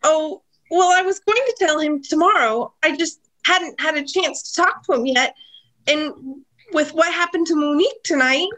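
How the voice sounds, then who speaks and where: A woman's voice: high voice, moderate pace, a bit bright, poor audio. A woman playing a flustered, defensive nightclub singer with secrets in a comic radio mystery. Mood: flustered, evasive.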